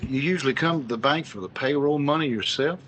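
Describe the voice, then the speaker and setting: An actor playing a detective partner in a 1940s-style radio drama, asking plain, direct questions. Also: male voice, medium pitch, a little fast, a bit bright, slight background noise, natural voice. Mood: steady. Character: male police detective partner, steady and helpful.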